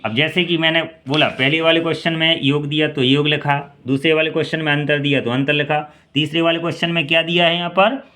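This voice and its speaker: Man from India, 30s, bright tense voice, exam-prep maths lesson, asks and answers own questions. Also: a little high, fairly even intonation, fairly quick, few pauses. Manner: quizzes listeners and answers himself; repeats key steps and numbers. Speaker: maths teacher.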